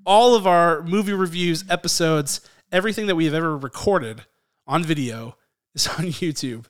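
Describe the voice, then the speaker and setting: A man's voice: a little high, moderate pace, clean tone. A man co-hosting a movie podcast, chatting casually and teasing his co-host. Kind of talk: casual chat.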